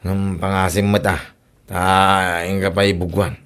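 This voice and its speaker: A man's voice: low-pitched, level tone, a little slow, fairly bright tone, smooth. Man playing a sheepish, mumbling gambler husband. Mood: sheepish, evasive. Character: sheepish, gambling ne'er-do-well husband making excuses. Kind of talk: Filipino comedy radio drama acting.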